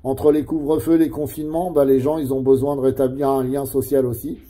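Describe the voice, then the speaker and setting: A middle-aged man talks as a CB radio operator. His voice is very dark and mellow, at an ordinary male pitch. He keeps a casual, chatty manner, often tacking little tags onto his phrases. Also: flat delivery, a little fast.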